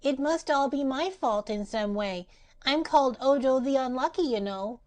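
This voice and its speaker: A woman's voice: high voice, expressive, moderate pace, voice pushed up. A woman voicing a grieving young boy who is close to tears. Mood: forlorn. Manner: tearful and forlorn.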